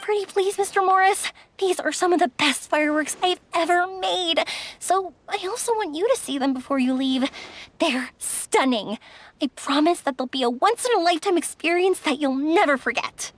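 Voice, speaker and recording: Very high, bubbly young woman. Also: normal speed, dry recording. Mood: coaxing. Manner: bubbly, coaxing. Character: cheery, persuasive young female fireworks maker.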